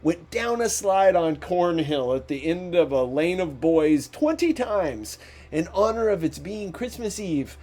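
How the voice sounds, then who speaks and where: Unhurried, mellow male storybook narrator. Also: high-pitched, lively intonation. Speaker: audiobook narrator.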